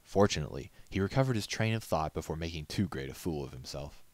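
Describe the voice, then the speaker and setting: A man's voice: a bass voice, expressive, fast talker. A man reading a story aloud as a narrator, in scripted storybook style.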